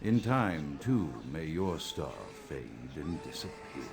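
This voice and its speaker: Deep-voiced, pompous old vampire doctor. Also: male voice, very animated, slow pace, heavily acted. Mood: proud defiance. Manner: grandiloquent, precise, brooding diction. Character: pompous, morbid, melancholy old vampire asylum psychiatrist. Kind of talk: theatrical monologue, video game voice acting.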